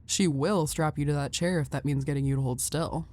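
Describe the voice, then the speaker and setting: A woman's voice: low-pitched, brisk, warm tone. A woman voicing a tough, brash young female brawler who talks bluntly and teases. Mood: teasing. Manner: teasing, blunt, cocky. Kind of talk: fan audio roleplay.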